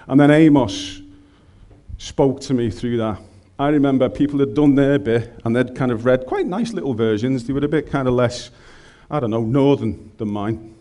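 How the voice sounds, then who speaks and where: A man's voice: mid-range voice, normal speed, warm tone. A man with a Scouse accent preaching a sermon in a chatty, joking way, speaking into a microphone in a large room. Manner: humorous, conversational, colloquial.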